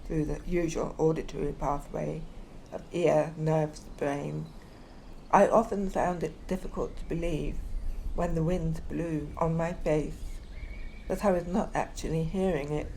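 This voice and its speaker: A woman reflecting aloud in a deliberate, unscripted way, searching carefully for her words. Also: low voice, fairly even intonation, creaky, warm tone, poor audio. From northern England. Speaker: poet, documentary subject. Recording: outdoor field recording with environmental noise. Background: birdsong and outdoor ambience.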